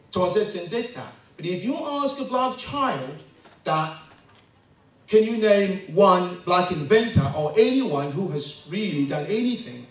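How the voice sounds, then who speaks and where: A man speaking earnestly about raising children, with rising and falling emphasis. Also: high-pitched. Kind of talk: impassioned monologue. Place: London. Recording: very echoey room, voice far from the mic.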